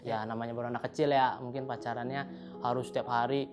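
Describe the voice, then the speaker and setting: A woman in her twenties chats casually in an extremely low voice. Guitar music plays clearly behind her. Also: level tone, quick pace. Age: 20s. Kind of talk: casual interview answers.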